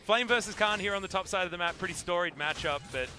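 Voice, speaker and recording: A man commentates a live esports match in a slightly high-pitched voice. He moves between calling the play and chatty banter with a co-caster. Music plays clearly behind him. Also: quick pace, pushed and bright.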